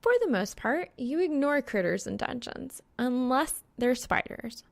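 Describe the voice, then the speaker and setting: A woman's voice: high voice, animated, a little slow, vocal fry, pitched above the performer's own voice, full character voice. A woman voicing a young, studious gamer woman in an audiobook, casual and teasing with a dry manner. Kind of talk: acted audiobook dialogue.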